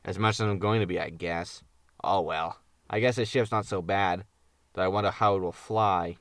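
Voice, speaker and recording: A man's voice: sits low, steady tone, normal speed, no echo. A man playing a grumpy, self-excusing spaceship captain in a sci-fi comedy audio drama, sardonic and grumbling. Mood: resigned.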